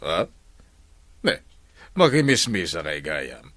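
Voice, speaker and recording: Male actor as pompous village captain, scolding, money-loving, Ilocano accent, very animated pitch, comedy radio drama. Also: takes it slowly, heavily acted. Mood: dismissive.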